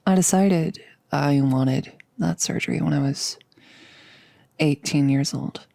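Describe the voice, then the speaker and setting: A woman performs a nervous girlfriend confiding in her partner in an ASMR audio roleplay. Her voice sits low and crackles with fry, in a very dry recording with no room around it. She rambles intimately, halting and hesitating as she opens up. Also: dark tone, no room sound, exaggerated voice. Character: nervous, chatty girlfriend about to become a vampire.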